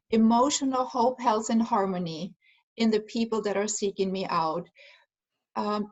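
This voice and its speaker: A woman's voice: a little high, rough recording. A woman from Germany, earnestly explaining her healing work as an interview guest, with frequent fillers. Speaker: guest, energy healer and aromatherapist. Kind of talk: conversational interview answers.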